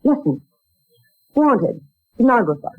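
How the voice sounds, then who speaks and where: High-voiced plucky young woman, old radio. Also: sing-song, slow pace, slightly rough, dry recording, exaggerated voice. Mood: eager. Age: young adult. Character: young woman job seeker, proud, polite, plucky. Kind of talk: 1940s radio acting. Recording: muffled old 1940s radio recording.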